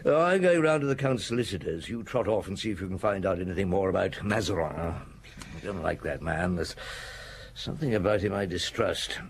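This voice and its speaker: A man's voice: sits low, a little fast, slight background noise. A male actor playing a shrewd chief inspector in a radio drama, probing and conversational, also narrating in the first person. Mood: brisk, wry. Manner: probing, conversational, punctuated with questioning hums.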